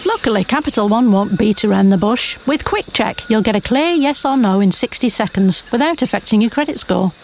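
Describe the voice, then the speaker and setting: A woman's voice: a little high, animated, brisk, hardly any pauses, a little hiss or hum. A woman reading wry scripted narration as an advert voice-over.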